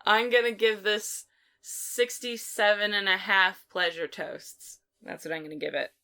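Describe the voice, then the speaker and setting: A woman's voice: medium pitch, fairly even intonation, slow pace, pauses often, bright, forceful tone. A woman co-hosting a podcast, chatting and bantering casually as she reviews films. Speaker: podcast co-host.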